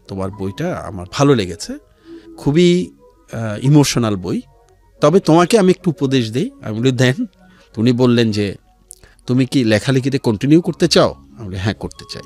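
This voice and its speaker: An animated middle-aged man with a Bangladeshi accent, speaking in a medium register that moves up and down a lot. He reflects and advises earnestly as an interview guest, with faint music behind him. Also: mid-range voice. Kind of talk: reflective life-story storytelling and advice in a radio interview. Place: radio studio interview.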